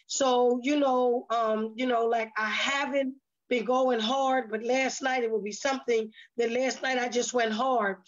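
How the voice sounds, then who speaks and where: A woman's voice: on the high side, a bit bright, muffled recording. A woman talking casually as an interview guest, repeating phrases for emphasis as she lists things. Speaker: stage play cast member, interview guest.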